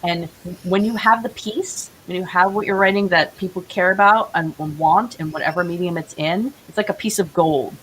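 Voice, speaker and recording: A woman with a low-pitched voice talks as a guest in a casual remote interview. She is earnest and encouraging, and a light hiss sits on her call audio. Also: moderate pace, few pauses, low-quality recording.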